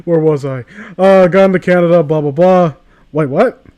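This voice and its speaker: Sarcastic, higher-voiced man riffing casually. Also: normal speed, dark tone, clean tone, dull audio. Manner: sarcastic, foul-mouthed. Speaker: host of a comedy reading channel. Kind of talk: casual riffing commentary while reading a story aloud.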